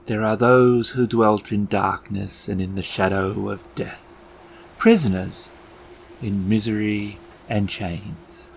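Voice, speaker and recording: A man voicing a theatrical, sinister keeper of the dead in a tabletop game, speaking slowly in formal, ominous phrases. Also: lowish voice, fairly even intonation, vocal fry, slight background noise, rough recording. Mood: coldly courteous. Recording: music bed under the voice. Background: music.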